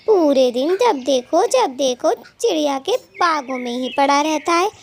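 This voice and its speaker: A woman's voice: high-pitched, lively intonation, unhurried, slight background noise. A woman voicing a gossipy, scheming female bird thief who dotes on her husband, in a dramatic, exclamatory children's cartoon manner. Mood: spiteful, scolding. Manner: dramatic, exclamatory, scolding and fawning. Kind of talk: Hindi children's cartoon dialogue.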